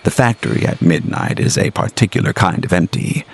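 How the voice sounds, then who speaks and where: A man reading scripted gothic horror as a storyteller, in a dramatic, deliberate literary manner with weighted phrases. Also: sits low, gravelly, breathy edge. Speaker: storyteller, narrator. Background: music under the narration.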